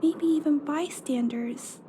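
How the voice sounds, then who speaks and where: A woman's voice: high-pitched, takes it slowly, mellow, slight background noise. A woman voicing a timid, earnest young girl in a video game, hesitant and polite. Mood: earnest, reluctant. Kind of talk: video game cutscene voice acting.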